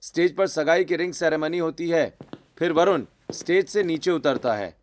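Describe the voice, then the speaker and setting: A man's voice: a little high, normal speed, a little hiss or hum. A man narrating a scripted cartoon story.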